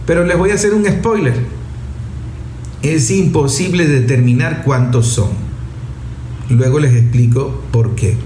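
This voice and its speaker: A Venezuelan man hosting a radio program, explaining things to listeners in a deliberate, didactic way with emphatic pauses. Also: fairly high pitch, warm tone. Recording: roomy echo, background noise.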